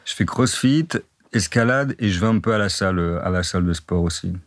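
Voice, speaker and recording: Deep-voiced young man chatting. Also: a little fast, dry recording, clean studio sound. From northern France. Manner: relaxed, repeats himself, many fillers. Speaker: dating-profile guest. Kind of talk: casual interview chat.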